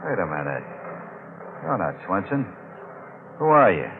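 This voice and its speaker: A guilty deep-sea diver with blood on his hands, a cornered man in an old radio mystery. The sound is narrow and hissy, like a worn vintage broadcast. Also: big pitch swings, a little slow, full character voice. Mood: suspicious, gruff. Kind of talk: old-time radio drama acting. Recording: noisy, narrow-band vintage radio recording.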